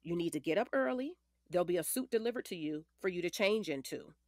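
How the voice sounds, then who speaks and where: Woman playing confident, lively-pitched lawyer in her forties. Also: quick pace, dry recording, clean studio sound, close to an everyday voice. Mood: instructive. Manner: firm, precise, commanding. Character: confident, matter-of-fact female lawyer in her late forties. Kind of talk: audio drama acting.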